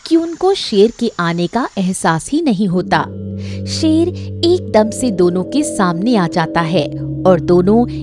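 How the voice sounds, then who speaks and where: A woman's voice: mid-range voice, expressive, slow pace. A woman narrating a scripted moral story for children as the storyteller. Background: faint music.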